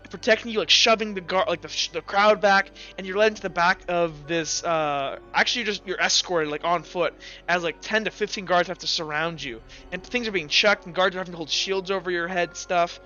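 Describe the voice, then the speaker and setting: A man working as game master, improvising tabletop narration in a halting, conversational way. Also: sits high, bright, forceful tone, highs cut off.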